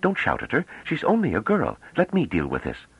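A man voicing a mild, cautious male scholar with a hesitant, courteous and gentle manner, in an audiobook story. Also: fairly low pitch, very animated, brisk, breathy edge, no echo, highs cut off, pitched above the performer's own voice. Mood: urgent, cautious.

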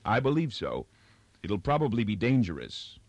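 Man playing easygoing husband, plain-spoken, affectionate, storybook dialogue, slightly low pitch. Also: big pitch swings, moderate pace, darkish tone, no echo. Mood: casual, matter-of-fact.

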